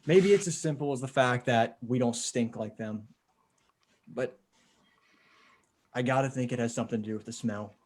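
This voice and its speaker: Casting: man acting level-headed, resolute male apocalypse survivor, mid pitch, measured halting delivery, comic table read. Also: a little mellow, lightly acted. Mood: reasoning, resolute. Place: remote video call table read.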